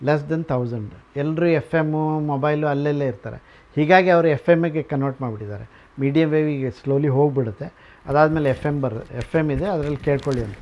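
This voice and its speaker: A man's voice: a little high, a little fast, darkish tone. A man giving an informal explanatory tour as a museum owner, mixing English phrases into his speech.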